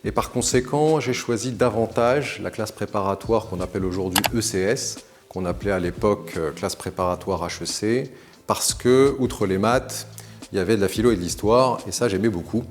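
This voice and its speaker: A man in his forties, raised in Morocco, talks through his past with a very low voice. He speaks in a measured, articulate way and often pauses to hesitate. Soft music plays faintly underneath. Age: 40s. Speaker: interview guest. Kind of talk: recounting his career to camera.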